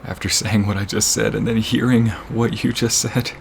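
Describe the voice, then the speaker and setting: Man acting a very low-voiced, tender boyfriend. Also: little pitch movement, normal speed, a little hiss or hum. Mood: devoted, happy. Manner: intimate, earnest, often hushed and breathy. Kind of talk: ASMR romantic boyfriend roleplay.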